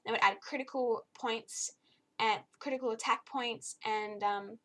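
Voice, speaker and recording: A young woman with a slightly croaky voice, giving a chatty, easily exasperated video game review with lots of fillers. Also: a little high, steady tone, a little slow, fairly bright tone. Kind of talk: casual spoken game review.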